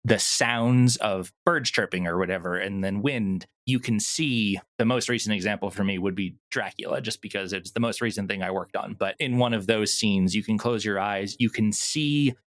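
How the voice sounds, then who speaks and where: A man's voice: lowish voice, moderate pace, hardly any pauses, a bit bright, dry recording. A man speaking casually as an interview guest, explaining his creative work and thinking aloud as he goes. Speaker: interview guest, audio drama producer.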